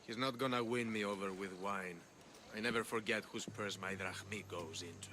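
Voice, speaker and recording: A man's voice: fairly low pitch, steady tone, normal speed, pushed and bright, a little hiss or hum. A man playing a menacing, distrustful gang boss in a video game, curt and suspicious. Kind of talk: video game cutscene acting.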